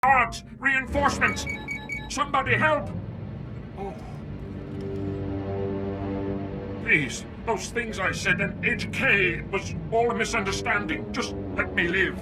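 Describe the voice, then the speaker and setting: An actor playing a boastful, self-serving and cowardly enemy station technician in a video game, speaking in a theatrical, pompous way. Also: male voice, high voice, measured pace, loud music behind the voice. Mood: panicked.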